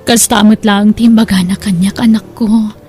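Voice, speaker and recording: A woman playing a poor, sickly, loving mother with a gentle, hesitant manner in an audio drama. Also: medium pitch, fairly even intonation, normal speed, faint music behind, lightly acted. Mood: reluctant, loving. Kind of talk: Ilocano audio drama acting.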